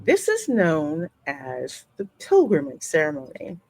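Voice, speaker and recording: A woman hosting a gaming channel, reading game text aloud and adding expressive, informal commentary. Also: low voice, a little slow, faint music behind.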